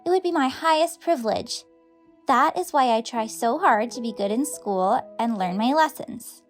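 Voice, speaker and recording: A woman's voice: on the high side, expressive, moderate pace, faint music behind, lightly acted. A woman playing an adoring schoolgirl who reads a flowery love letter in a gushing, dramatic audiobook style. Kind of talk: audiobook character reading.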